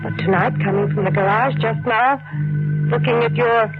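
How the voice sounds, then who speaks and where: An actress playing an anxious, earnest wife in a 1940s radio mystery, speaking in a measured, dramatic way. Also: female voice, on the high side, steady tone, close to an everyday voice. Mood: grave, accusing. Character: anxious, pleading wife who grows grave and accusing. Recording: dull old radio recording with organ music.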